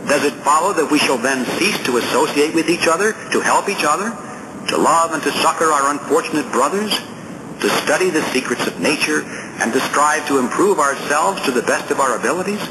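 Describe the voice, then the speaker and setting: A man reads a political essay aloud as an audiobook narrator, in a deliberate, emphatic, oratorical manner. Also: on the high side, animated. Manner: deliberate, emphatic oratorical reading with rhetorical questions and lists. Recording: clearly noisy audiobook recording.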